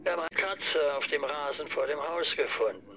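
A man's voice: on the high side, brisk. A man acting a sombre neighbour in a German radio drama, heard through a telephone filter. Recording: muffled phone line with clear noise.

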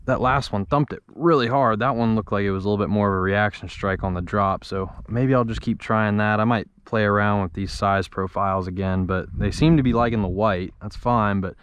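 A man's voice: on the low side, brisk, few pauses, smooth, no room sound. A young adult man giving casual commentary as a fishing vlogger, talking into an action camera outdoors. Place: outdoors, on open shallow bay water. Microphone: action camera worn or held close. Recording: outdoor camera with loud wind and water noise.

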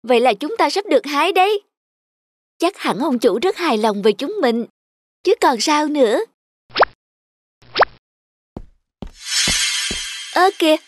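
A woman voicing a young, vain female sunflower in a children's cartoon, expressive and exclamatory. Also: high voice, normal speed, no room sound, studio quality. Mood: excited. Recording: music behind the voice.

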